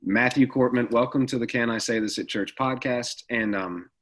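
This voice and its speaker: A man hosting a podcast, his voice raspy and hoarse from recovering after the flu, chatting casually and joking as he asks questions. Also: medium pitch, moderate pace, fairly bright tone. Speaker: podcast host. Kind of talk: casual interview chat.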